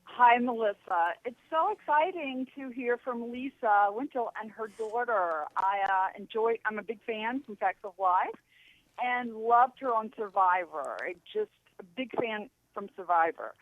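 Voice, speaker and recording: A woman calling in to a radio show, talking casually and a little haltingly over the phone. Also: on the high side, no echo. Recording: tinny phone line.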